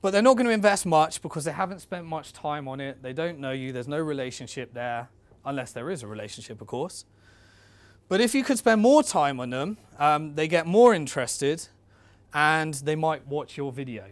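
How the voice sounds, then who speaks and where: A man presents in an informal, instructional style, talking straight to his listeners like a coach. His voice sits a little higher than average for a man. The sound is dry, with very little room around it. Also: lively intonation, normal speed, dry recording. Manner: conversational, coaching, addresses listeners directly. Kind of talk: informal instructional talk on raising investment.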